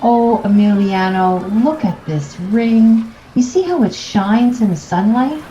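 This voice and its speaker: Woman playing an insistent, mellow-voiced wife. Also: medium pitch, takes it slowly, reverberant, dull audio, slight background noise, close to an everyday voice. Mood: fond. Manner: reproachful, firm. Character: insistent, sentimental middle-aged Italian man's wife. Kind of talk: radio play acting.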